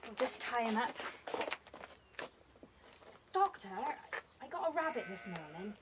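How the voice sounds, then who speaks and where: A young country girl, with a high voice and a rural southern English accent. She is polite, artless and soft-hearted in a naturalistic radio play, with faint farmyard noise behind. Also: very animated, unhurried. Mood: shy, concerned. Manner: artless, eager, dialect grammar. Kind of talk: naturalistic radio drama acting.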